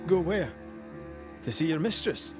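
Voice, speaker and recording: A man's voice: a little high, very animated, unhurried, over music. A man playing a serious, wary ghost hunter in a video game, probing and direct. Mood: wary, questioning. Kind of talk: video game cutscene acting.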